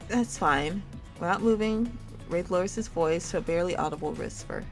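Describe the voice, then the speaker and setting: A woman with a slightly low voice reads a dating game story aloud like a campfire storyteller. Her delivery is theatrical, moving between narration and character lines. Music plays behind her. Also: moderate pace. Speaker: streamer reading a visual novel game aloud. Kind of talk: dramatic storytime reading of game dialogue, voicing characters.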